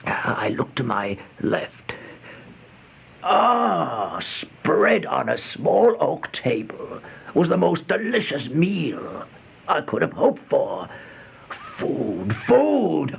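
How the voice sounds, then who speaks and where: Slow, haunted, starving housebreaker. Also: male voice, medium pitch, full character voice. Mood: wary, faint, then desperate, ravenous. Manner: halting, stammering, breathy dramatic delivery with gasps and whispers. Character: starving, feeble, once-proud male vagrant turned housebreaker, haunted and fearful. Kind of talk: old-time radio horror monologue. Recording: noisy old radio drama recording.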